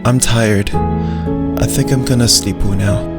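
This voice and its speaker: A gentle, brave little dog played by a man tells his story with childlike simplicity. His voice sits at a middling pitch, with clear music underneath. It is a scripted visual novel narration, earnest and loyal in temper. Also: fairly even intonation, takes it slowly, lightly acted. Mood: tired, content. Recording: music behind the voice.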